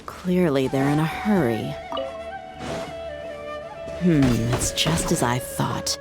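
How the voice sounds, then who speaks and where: A shrewd female mercenary in a video game. Her voice is notably low, and she sounds cool and sure of herself. Music runs clearly behind her. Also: slow pace. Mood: confident, focused. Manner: cool, assured. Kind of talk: scripted video game voice acting.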